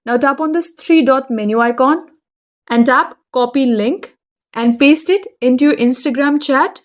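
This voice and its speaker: A woman's voice: high voice, measured pace. A woman hosting a tutorial, reading scripted instructions in a step-by-step way. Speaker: tutorial host.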